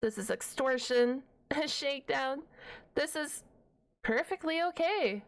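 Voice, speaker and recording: A woman playing a shy, high-voiced half-dragon librarian. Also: animated, full character voice. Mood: grudging. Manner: flustered, halting, self-correcting, playfully indignant. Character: shy, nerdy young half-dragon librarian, flustered and huffy. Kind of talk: ASMR roleplay, one-sided dialogue to the listener.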